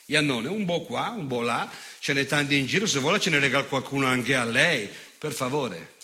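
An actor playing an unrepentant, nostalgic fascist in a theatrical monologue, sardonic and gloating, with emphatic pauses. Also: male voice, mid-range voice, expressive, pushed and bright. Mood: mocking anecdote. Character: unrepentant, nostalgic old Italian fascist recounting war crimes.

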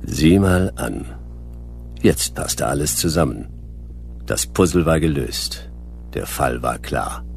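A man's voice: a bass voice, animated, slow, gravelly, long pauses, slight background noise, exaggerated voice. A man playing a laconic, world-weary private detective in a German sci-fi crime radio play, terse, dry and hard-boiled. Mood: dry narrating. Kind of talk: noir radio play narration and dialogue.

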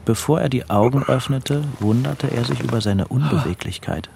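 A man narrating a literary audio drama from a script in a low, creaky voice. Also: normal speed, background noise.

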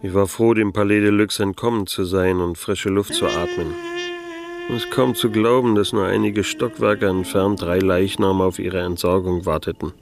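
Deep and dry, this is a disillusioned private detective of noir radio drama. He is laconic and wry, with faint music underneath. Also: steady tone, moderate pace. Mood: wry, reflective. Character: disillusioned, world-weary male private detective, wry narrator-hero. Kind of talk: noir radio drama, first-person narration.

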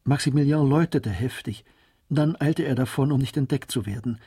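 Husky male radio drama narrator. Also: medium pitch, brisk, dark tone, dry recording, clean studio sound.

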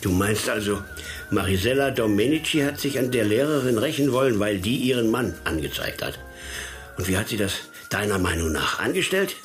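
A man acts a skeptical senior policeman in a crime drama. His lowish voice swings widely in pitch as he challenges and dismisses, gruff and exasperated. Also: animated, brisk, soft background music, natural voice. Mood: skeptical, irritated. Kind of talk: German radio crime drama acting.

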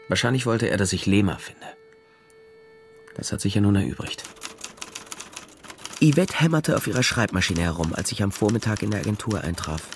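An actor playing a wry, worldly private detective, narrating a radio crime drama with dry understatement. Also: male voice, lowish voice, natural voice. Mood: matter-of-fact. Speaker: narrator and lead character.

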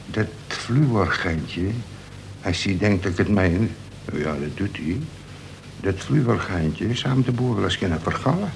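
A crafty convict in a radio drama, with a fairly low voice. He talks in a slangy, streetwise way, and the recording carries faint noise. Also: expressive, measured pace, vocal fry, full character voice, low-quality recording. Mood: sly, musing. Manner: streetwise, colloquial. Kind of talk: Dutch radio play acting.

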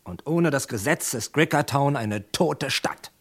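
A man's voice: mid-range voice, lively intonation, measured pace, husky. An actor playing a stubborn, principled gunman in a Western radio play, stern, terse and unyielding. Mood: solemn, firm. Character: stubborn, principled gunman insisting on his guilt.

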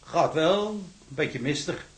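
A man's voice: a little high, lively intonation, takes it slowly, low-quality recording. Patient, fatherly prison warder. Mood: kindly, encouraging. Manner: homely, patient, encouraging. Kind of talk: Dutch audio drama acting.

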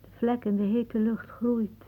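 Casting: bitter, weary older aunt, fairly high voice, brooding radio-play delivery, narrow old recording. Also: female voice, little pitch movement, a little slow. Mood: brooding, sardonic. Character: weary aging aunt, bitter, musing, aching body. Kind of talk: radio drama acting, monologue-like.

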